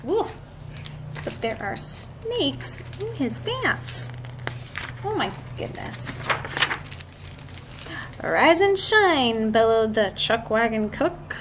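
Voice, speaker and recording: A woman reading a picture book aloud to children, expressive and drawn out, with chatty asides to her listeners. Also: a little high, slow pace. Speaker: read-aloud storyteller. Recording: clearly noisy amateur recording.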